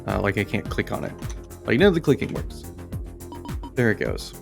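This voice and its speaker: A man hosting casual, unscripted game commentary, halting and rambling as he searches for words. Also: on the low side, huge pitch swings, creaky, over music. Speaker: host of an indie game review channel.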